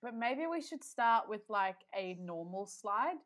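A woman's voice: mid-range voice, expressive, a little slow, a bit bright. A young woman hosting a casual podcast, chatty and upbeat with plenty of fillers. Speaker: podcast host.

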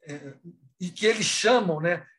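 A Brazilian man speaks in a somewhat high-pitched voice, as a researcher would in an online panel discussion. His delivery is unscripted and discursive. He moves haltingly through his thoughts, repeating words, rephrasing and dropping in small tag questions. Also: fairly bright tone. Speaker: academic researcher and thesis supervisor. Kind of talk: spontaneous panel discussion in an online live talk.

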